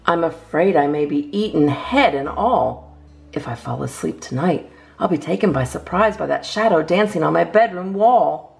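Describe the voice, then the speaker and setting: Low, animated woman reading children's poems. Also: moderate pace, vocal fry. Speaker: storytime host reading a picture book. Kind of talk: expressive read-aloud of children's poems.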